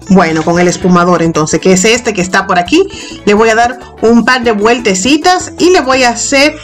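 A middle-aged woman hosting a home cooking show, talking playfully through a recipe and joking with her viewers. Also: fairly high pitch. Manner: playful, jokey, affectionate nicknames for viewers. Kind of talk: playful recipe voice-over and tasting. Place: home kitchen.

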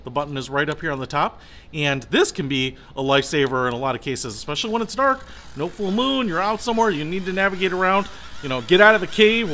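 Bright-voiced American man, chatty product reviewer. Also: fairly high pitch, a little hiss or hum. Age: middle-aged. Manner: chatty, colloquial, enthusiastic. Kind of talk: casual product demonstration.

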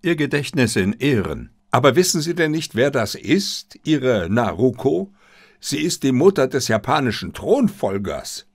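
Audiobook sidekick read by a man: earnest, probing, sceptical male, creaky voice, expressive intonation. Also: mid-range voice, measured pace, pitched above the performer's own voice. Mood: incredulous. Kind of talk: audiobook character dialogue.

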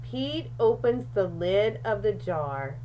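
A woman reading a children's picture book aloud in an expressive, deliberate storyteller's manner. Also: mid-range voice, dull audio, slight background noise. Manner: animated, deliberate, with questions and asides to young listeners.

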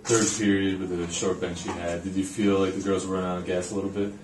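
Low, even man, off-mic. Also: fast talker, distant mic. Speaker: sports reporter asking questions. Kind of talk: press conference questioning. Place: indoor press room, off camera. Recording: roomy, off-mic, clear background noise.

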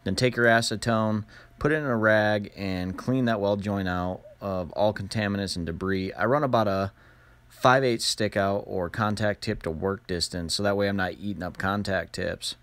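Male tutorial narrator, lowish pitch, plain-spoken and casual, close dry voice-over. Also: moderate pace, fairly bright tone, no echo. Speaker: instructional narrator.

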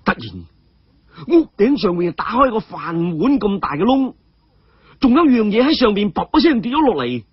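A man's voice: high-pitched, big pitch swings, moderate pace, husky, no room sound, lo-fi sound. A man narrating a story dramatically.

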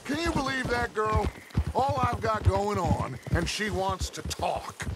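A man's voice: high voice, unhurried. An actor playing a grandiose, persuasive middle-aged male outlaw leader in a video game, speaking in theatrical, oratorical phrases. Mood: irritated. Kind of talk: video game character acting. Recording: loud outdoor noise with horse hoofbeats.

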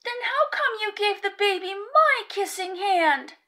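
A woman's voice: extremely high pitch, animated, unhurried, pushed and bright, pitched above the performer's own voice, heavily acted. A woman voicing a young boy raccoon, an anxious, plaintive big brother in a storybook. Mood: hurt, pleading. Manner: plaintive, childlike complaining.

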